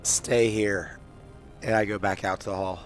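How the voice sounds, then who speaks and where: A man with a low voice and very little pitch movement plays a tabletop game. He talks in character in short, gruff phrases, with faint music behind him. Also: fairly even intonation, a little slow.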